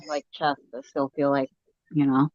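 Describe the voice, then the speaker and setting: A woman's voice: low voice, very animated, takes it slowly, no room sound. A woman hosting a casual video-call stream, laughing often and sounding sniffly as she gets over an illness. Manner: laughs often, says "y'all" and "hella". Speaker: stream host. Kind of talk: casual chat. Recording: muffled lo-fi call audio.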